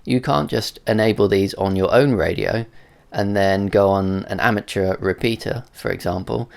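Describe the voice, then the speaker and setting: A man with a fairly low, gravelly voice presents a technical explainer. His delivery is measured and matter-of-fact, laying things out step by step like a tutorial. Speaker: presenter of a radio tech video.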